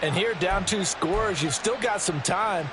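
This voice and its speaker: A man's voice: sits high, a little slow, noisy background. A man with an American accent voicing an assured ex-player football analyst in a sports video game, explaining emphatically. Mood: analytical. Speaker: colour commentator. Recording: loud music and ball-bounce sounds behind. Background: loud music.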